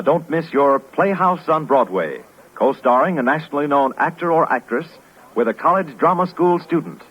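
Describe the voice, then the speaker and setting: Husky, emphatic 1950s American radio announcer man. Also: mid-range voice. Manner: formal, emphatic. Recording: muffled vintage radio broadcast recording.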